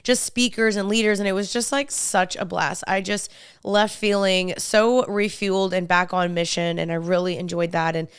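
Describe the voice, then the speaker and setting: A woman's voice: fairly low pitch, steady tone, normal speed, clear, resonant tone, no room sound, clean studio sound. A young woman hosting a podcast in casual solo chat, upbeat and talking straight to listeners, a little congested and nasal from a cold. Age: young adult. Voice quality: slightly nasal. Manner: chatty and upbeat, addresses listeners as "you guys". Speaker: podcast host.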